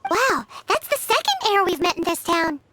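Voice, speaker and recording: A woman voicing a tiny, chirpy, nosy fairy companion with a squeaky childlike voice for a video game. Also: very animated, normal speed, background noise. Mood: curious, chirpy. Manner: refers to itself in third person, blurting.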